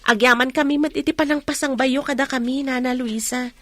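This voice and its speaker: A woman's voice: sits high, steady tone, a little fast, fairly bright tone, no echo. A woman acting a talkative young village woman in an Ilocano radio drama. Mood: friendly.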